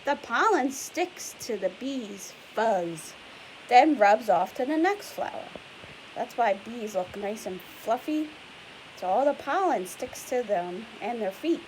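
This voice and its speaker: A woman's voice: on the high side, animated, slow, a little mellow, poor audio. A young woman teacher reading a picture book aloud to children, chatty and explanatory, stopping often to ask questions. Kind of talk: read-aloud lesson for children. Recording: faint hiss.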